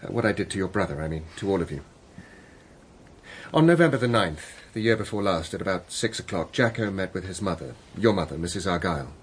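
Earnest visiting scientist for radio play: hesitant, polite, expressive low-set voice, slight noise. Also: male voice, fairly quick. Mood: grave, determined. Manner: hesitant, apologetic, painstakingly polite. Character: earnest, awkward male scientist, a troubled visiting stranger. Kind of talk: radio drama acting. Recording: faint background noise.

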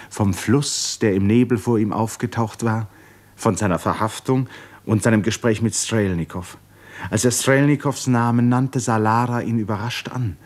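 A male narrator with a fairly low voice delivers literary prose for a 1950s radio drama. He is solemn and articulate, letting dramatic pauses shape the telling. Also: darkish tone.

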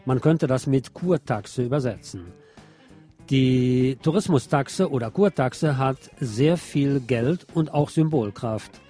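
A man's voice: medium pitch, mellow, breathy edge. A man presenting scripted radio news, adding opinionated, wry asides as he reads. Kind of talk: scripted local news read with spoken asides.

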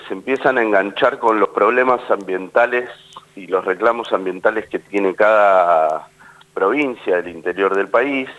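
A man's voice: sits low, fairly bright tone, poor audio. A man with an Argentine accent talking casually as an actor being interviewed, often repeating words as he forms his thoughts. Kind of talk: casual radio interview talk.

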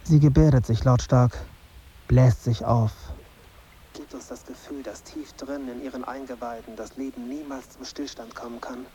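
A dazed, gloomy young man thinking aloud, played by an actor. His voice is very dark and soft-edged, brooding and terse, in a radio drama with slightly dull sound and faint noise. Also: medium pitch, moderate pace, natural voice. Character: dazed, gloomy young male journalist narrating inwardly. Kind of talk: radio drama interior monologue.

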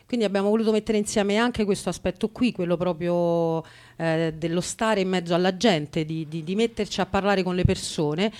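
A woman hosting an unscripted live radio talk, speaking in a halting, improvised way. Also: lowish voice, steady tone, darkish tone. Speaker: radio show host.

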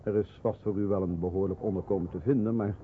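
A helpful community official acted by a man in a radio play, speaking rather low. He is courteous and businesslike, with small hesitations, on a noisy, tinny recording. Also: steady tone, fast talker, natural voice. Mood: practical. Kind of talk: radio drama acting.

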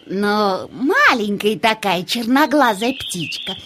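A knowing older cuckoo hen in a children's audio tale. She instructs with gossipy emphasis and big swings of intonation, at a medium pitch. The recording has slight noise. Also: expressive, slow pace, fairly bright tone. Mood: explaining, emphatic. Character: experienced older female cuckoo, bossy knowing neighbour. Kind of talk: children's audio play acting.

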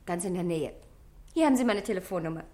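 A woman's voice: fairly low pitch, animated, fast, a little mellow, lightly acted. An actress playing a cool, world-weary, provocative woman of twenty-one, aloof and flippant, in a German radio play. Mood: teasing.

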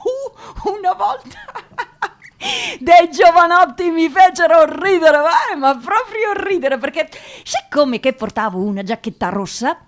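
A woman's voice: extremely high pitch, animated, unhurried. An actress playing a shy, pious eighteen-year-old provincial girl with a hesitant, stammering, naive way of talking in a radio drama. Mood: amused, animated. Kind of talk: radio drama interview monologue.

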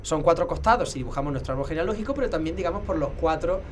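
Rich: A man explains history to a host. His voice sits fairly high and he talks very fast, in a lecturing manner full of pauses and restarts. A faint hiss runs under the recording. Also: lively intonation. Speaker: heraldry expert guest. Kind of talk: casual explanatory talk.

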